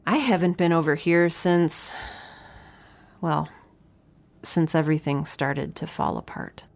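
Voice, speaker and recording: A woman's voice: sits low, fairly even intonation, takes it slowly, creaky, darkish tone, heavily acted. An actress playing a young researcher alone on a spaceship, chatting into her log in a wry, rambling, nervous and self-deprecating way. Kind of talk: audio drama, spoken log in a casual chatty manner.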